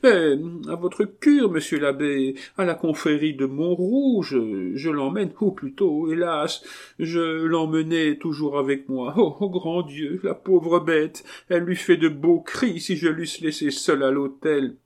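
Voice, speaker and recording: A man's voice: a little high, measured pace, darkish tone, voice pushed up, natural voice. A man voicing a haughty, grieving marquise from a novel, plaintive and melodramatic in an aristocratic way. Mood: desperate, doleful. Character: haughty, overwrought grieving marquise mourning her little dog.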